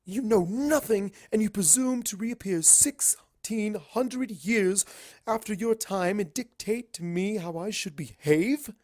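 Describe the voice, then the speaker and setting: A man's voice: high-pitched, slow, darkish tone, voice pushed up. A man voicing a proud, indignant female pony royal with a haughty, formal manner in a story reading. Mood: outraged.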